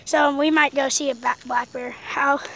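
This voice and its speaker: A woman chatting casually in an outdoor vlog, filming by a pool on a handheld phone, loose with many fillers and dropping quiet while she searches. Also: high voice, steady tone, moderate pace, creaky. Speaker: vlogger. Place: outdoors by an abandoned pool on rural land. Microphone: handheld camera phone filming the water.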